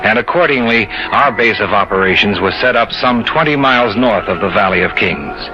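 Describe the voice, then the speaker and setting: A man's voice: on the low side, expressive, brisk, lightly acted. A man acting an eager, impatient young archaeologist who narrates his expedition in an old-time radio drama. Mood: measured narration. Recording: dull old radio recording with music behind.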